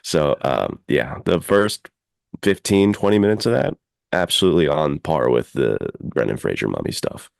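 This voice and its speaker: A man hosting a podcast, discussing films casually and in an animated way. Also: extremely low pitch, normal speed, gravelly, no room sound, studio quality. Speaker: podcast host.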